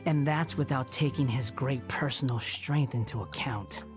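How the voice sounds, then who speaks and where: Low, scornful young wanderer. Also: female voice, normal speed, music in the background. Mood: mocking. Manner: scornful, condescending, dry. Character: haughty, sardonic young wanderer, a former villain with mechanical parts. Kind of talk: video game character voice-over.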